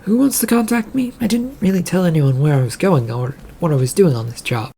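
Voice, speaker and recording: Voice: medium pitch, brisk, gravelly, mellow, a little hiss or hum, pitched above the performer's own voice, lightly acted. A narrator voicing a wary, skeptical woman on a ship's crew, guarded and questioning. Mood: suspicious.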